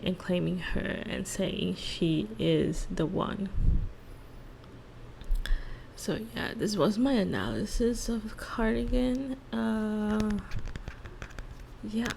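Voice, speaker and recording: A woman speaking softly in an ASMR monologue, hushed and halting, lingering on phrases and at times slipping into a whisper. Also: medium pitch, vocal fry, a little hiss or hum, poor audio. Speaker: ASMR creator and host. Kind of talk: soft-spoken ASMR role-play monologue with song analysis.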